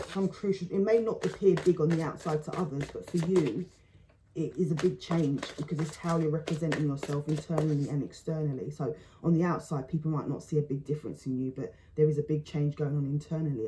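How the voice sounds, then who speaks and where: A woman giving a relaxed spoken tarot reading, thinking aloud in halting phrases and often repeating words. She says her voice feels low today. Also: background noise. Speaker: tarot reader.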